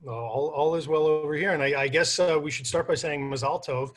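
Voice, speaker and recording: A man's voice: a little high, brisk, slight background noise. A man moderating a panel on a video call, introducing the guests in a friendly way with frequent hesitations. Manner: friendly, with many "uh" fillers.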